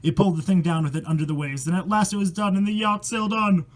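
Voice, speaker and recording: Guy w a high voice reading creepy rhymes out loud, dramatic, sweary, kinda stumbles on words. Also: steady tone, fast. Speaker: amateur storyteller and host. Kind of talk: reading a rhyming horror story aloud with casual asides.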